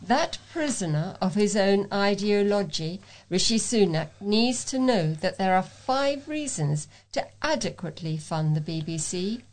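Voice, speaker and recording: Lady reading letters out for a local talking newspaper, medium pitched voice. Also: lively intonation, a little slow, hardly any pauses, rough recording. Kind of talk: reading readers' letters aloud.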